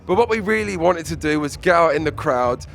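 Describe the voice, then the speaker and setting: Male host, middle-aged, casual and chatty, slightly high voice, faint background noise. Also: lively intonation, a little fast, gravelly, fairly bright tone. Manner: chatty, reads out viewer messages. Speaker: show host. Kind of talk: casual festival show presenting.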